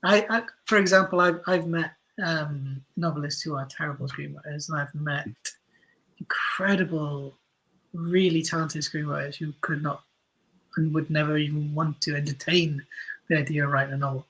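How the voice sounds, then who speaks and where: A man with a husky, slightly high voice talks casually as an interview guest. He explains his points in an animated way, often stumbling and correcting himself as he goes. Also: moderate pace, rough recording. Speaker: interview guest, audio drama writer and director.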